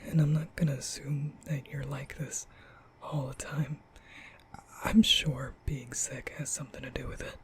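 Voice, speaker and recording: A man playing a nervous, gentle young vampire in an intimate ASMR roleplay, speaking hesitantly in a breathy half-whisper. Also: medium pitch, little pitch movement, a little hiss or hum, lightly acted. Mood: earnest, flustered. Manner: hesitant, stammering, slow.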